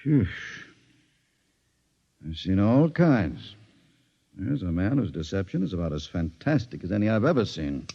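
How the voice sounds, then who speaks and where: An actor playing a calm, soothing psychiatrist talking a patient down, in 1950s radio drama style. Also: male voice, low-pitched, measured pace, creaky, pauses often, full character voice. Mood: musing. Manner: soothing, patient, gently coaxing. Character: calm middle-aged male psychiatrist, soothing. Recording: dull old radio broadcast.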